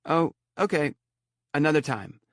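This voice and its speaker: A man voicing an eager, excitable young boy in an audiobook story. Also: a little high, a little slow, no echo, studio quality, voice pushed up. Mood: eager, excited. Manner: eager, exclaiming. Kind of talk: audiobook character voice.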